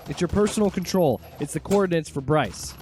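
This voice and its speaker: An actor playing a wry, exasperated agency director in an audio drama, with a casual way of talking. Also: male voice, a little high, lively intonation, a little fast, a little mellow, a little hiss or hum, natural voice. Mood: alarmed.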